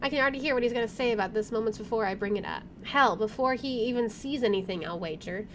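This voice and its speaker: Higher-pitched woman reading a game aloud. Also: fast talker, a little hiss or hum. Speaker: gaming video host. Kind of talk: reading visual novel narration aloud with casual reactions. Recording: faint background game ambience.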